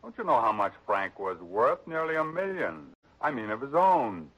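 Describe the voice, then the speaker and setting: An actor playing a blunt, self-assured business partner in a 1960s radio drama. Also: male voice, medium pitch, sing-song, normal speed, fairly bright tone. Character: blunt middle-aged businessman, dead man's partner. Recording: dull lo-fi old radio broadcast.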